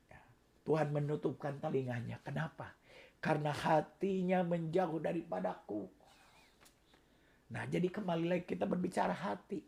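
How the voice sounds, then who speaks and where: A middle-aged man preaching a sermon with a hoarse, raspy, worn-out voice, swinging between soft asides and loud emphatic declarations. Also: high voice. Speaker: pastor.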